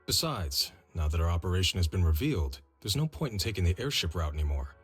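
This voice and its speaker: An actor playing a steady, shrewd senior male disciple in a video game, with a low, husky voice and measured, reassuring manner. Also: soft background music, exaggerated voice.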